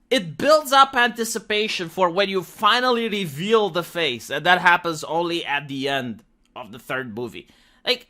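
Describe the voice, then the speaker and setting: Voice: mid-range voice, pushed and bright. A commentator giving opinionated, casual commentary in an emphatic, exasperated, argumentative way. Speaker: commentary host.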